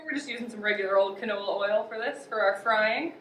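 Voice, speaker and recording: A young woman hosting a casual outdoor cooking demo, chatty and quick to laugh. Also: mid-range voice, a bit bright. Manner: chatty, laughs often, upbeat. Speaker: outdoor cooking show host. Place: outdoor cooking spot at a river park. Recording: echoey, distant, faint noise.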